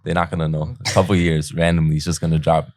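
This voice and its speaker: A man's voice: extremely low pitch, little pitch movement, fast, a little mellow, dry recording. A young man, a barber guest on a podcast, talking laid-back with slang and jokes. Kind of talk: casual chat.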